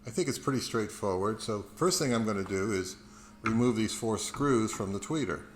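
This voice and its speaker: A man hosting a video, explaining things casually in an unhurried, self-deprecating way. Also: fairly low pitch, slight background noise. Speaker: video host.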